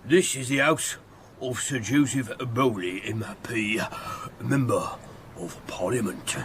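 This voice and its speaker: A man's voice: mid-range voice, takes it slowly, slight background noise, lightly acted. An actor playing a ponderous, officious footman of a grand house in a period radio drama.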